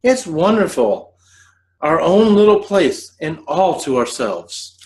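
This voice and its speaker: A man's voice: on the high side, big pitch swings, slow, darkish tone, voice pushed up, exaggerated voice, poor audio. A man voicing a sweet young newlywed wife in an amateur reading of an old 1930s radio drama, with wistful, stagey delivery. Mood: delighted.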